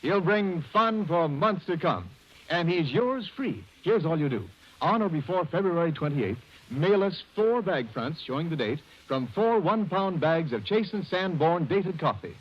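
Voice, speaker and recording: A man's voice: a little high, very animated, unhurried, breathy edge. A man announcing a 1930s radio advertisement in an emphatic, salesmanlike way. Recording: dull vintage radio recording.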